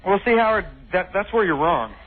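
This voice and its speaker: Man, radio caller, casual debate, earnest, somewhat high pitch, narrow phone-line sound. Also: big pitch swings, fairly quick. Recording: tinny phone line, faint music behind.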